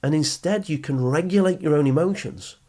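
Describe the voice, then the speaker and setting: A man's voice: fairly high pitch, fairly quick, a little mellow. A British man hosting a motivational advice podcast, speaking directly to listeners in an emphatic, explanatory manner with rhetorical questions and repetition. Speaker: podcast host and study skills coach.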